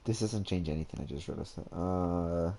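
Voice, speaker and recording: A man's voice: deep voice, normal speed, creaky, mellow, a little hiss or hum. A young man giving casual gameplay commentary, thinking aloud in a hesitant way. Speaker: gamer.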